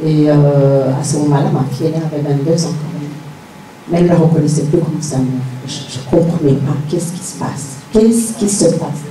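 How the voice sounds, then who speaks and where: A woman's voice: sits low, moderate pace, mellow, slight background noise. A middle-aged woman gives a personal religious testimony, telling her story earnestly and repeating phrases for emphasis. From Rwanda. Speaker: church member giving testimony. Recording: echoey room, distant mic, music.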